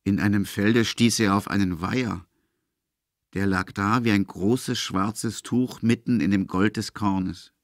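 A man reads literary narration for an audio drama. His voice is on the low side, and the recording is dry, with very little room around it. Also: steady tone, a little slow, dry recording.

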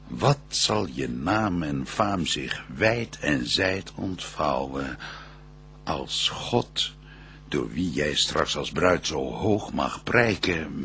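An actor playing a solemn archangel who brings God's blessing, declaiming verse in a stately, ceremonious manner for a radio play. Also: male voice, a bass voice, vocal fry, a bit bright, a little hiss or hum, full character voice.

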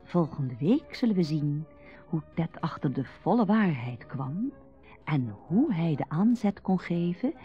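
A woman narrating a radio drama from a script, with a husky, mellow voice. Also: low voice, sing-song, a little slow, faint music behind. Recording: dull radio recording, roomless and dry.

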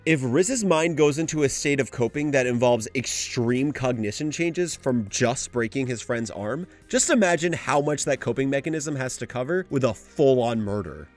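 A man's voice: medium pitch, lively intonation, normal speed, hardly any pauses, faint music behind. A man narrating a scripted video essay analysis.

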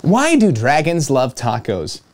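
A young man in his twenties reads a children's story aloud with very lively, swooping intonation. His pitch is mid-range, and he plays up key words with dramatic pauses in a playful storytime-host style. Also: slight background noise. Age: 20s. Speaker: storytime host reading a picture book. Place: small indoor room with home studio gear.

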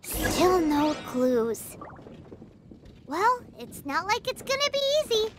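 A woman's voice: extremely high pitch, big pitch swings, slow pace, background noise, heavily acted. A woman voicing a bubbly, boastful, childlike fairy companion in a video game, with exclamatory, exaggerated childish talk. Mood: puzzled, impatient.

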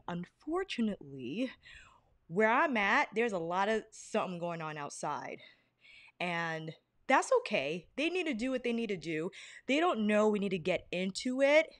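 A young woman chats into a close desk mic from her living room, bubbly and quick to greet viewers by name. Her voice sits at an everyday middle pitch, and the sound is dry and close with almost no room. She jumps up into excited squeals when she spots a friend. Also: no room sound. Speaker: beauty livestream host. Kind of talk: casual livestream chat. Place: apartment living room, fan humming. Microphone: desk podcast mic in front.

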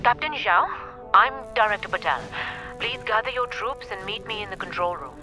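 A woman's voice: mid-range voice, expressive, normal speed, over music, natural voice. A woman playing a coolly authoritative space station director in a video game, formal and controlled. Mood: businesslike. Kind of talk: video game dramatic acting.

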